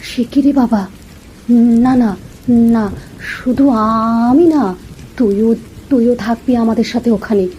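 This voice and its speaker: A man's voice: very high-pitched, slow, long pauses, mellow, a little hiss or hum, voice pushed up, poor audio. A man voicing a worried, protective village mother, pleading and insistent, in a cartoon.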